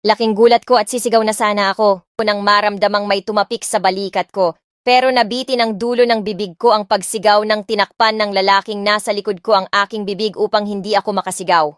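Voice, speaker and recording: A young woman narrating a first-person romance audiobook, expressive and switching between two languages. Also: mid-range voice, fairly quick, dry recording, studio quality.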